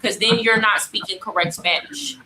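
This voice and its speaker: A woman's voice: mid-range voice, fairly even intonation, moderate pace, bright, forceful tone. A woman arguing her point in casual debate, insistent and explanatory. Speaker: podcast guest. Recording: roomy echo, faint background noise.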